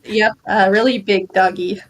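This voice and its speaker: A woman's voice: medium pitch, fairly even intonation, moderate pace, low-quality recording. A woman chatting casually as a guest, easygoing and matter-of-fact. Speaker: guest on a stream. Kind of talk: casual chat. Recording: music behind the voice.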